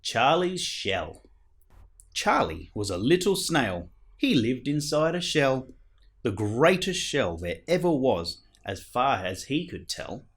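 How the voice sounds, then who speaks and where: Slow, expressive male storyteller. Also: medium pitch, a little hiss or hum. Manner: theatrical, dramatic pauses. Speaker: storyteller, show host. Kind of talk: expressive children's picture-book reading.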